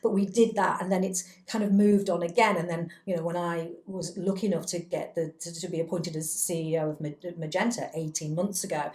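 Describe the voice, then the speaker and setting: Reflective low-voiced woman hosting a podcast. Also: fairly even intonation, brisk, hardly any pauses, a little mellow. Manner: reflective, rambling, self-deprecating. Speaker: podcast host, chief executive. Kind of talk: conversational podcast interview. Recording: roomy, echoing indoor sound.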